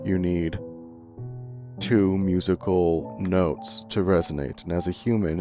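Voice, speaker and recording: A young man with a deep, low voice speaks straight to his audience, earnest and reflective. He pauses and restarts as he works through his thoughts. Soft music plays faintly under his voice. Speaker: content creator. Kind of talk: reflective storytime monologue.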